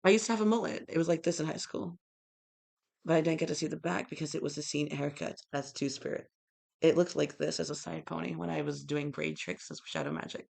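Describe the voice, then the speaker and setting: Young man, rapid-fire rambling vlog, gravelly fry, sudden loud bursts. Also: a little high, lively intonation. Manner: disjointed, with sudden loud outbursts. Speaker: vlogger. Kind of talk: rambling monologue to camera.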